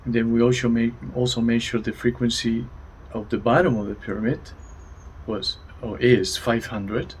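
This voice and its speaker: A man's voice: medium pitch, fairly even intonation, moderate pace, low-quality recording. A man co-presenting, reporting readings in brief, matter-of-fact statements. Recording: background ambient noise.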